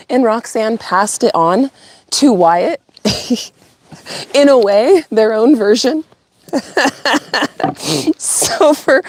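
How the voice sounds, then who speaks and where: An animated middle-aged woman from California tells a family story on a livestream, rambling through asides and laughing often. Her voice sits a bit high for a woman, and she talks casually, like chatting with friends. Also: no room sound. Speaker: channel host.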